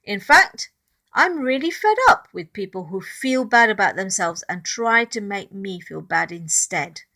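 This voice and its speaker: A woman voicing a young schoolgirl who stands up for herself, emphatic and deliberate, in a storybook reading. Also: medium pitch, expressive, pushed and bright. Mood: firm, indignant.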